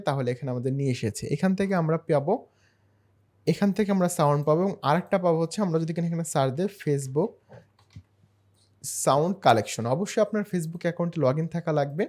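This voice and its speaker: A man narrating a screen-share software tutorial in a step-by-step, explanatory way. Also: on the high side, fast. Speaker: tutorial host.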